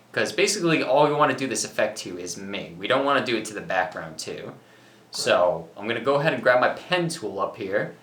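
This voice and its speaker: A young man with a bright, forceful voice walks through an editing tutorial in an easygoing, joking way. He is recorded in a small home studio with a roomy echo around him. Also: on the low side. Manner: jokey, self-mocking, talks through steps. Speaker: tutorial co-host. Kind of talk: casual software tutorial with banter.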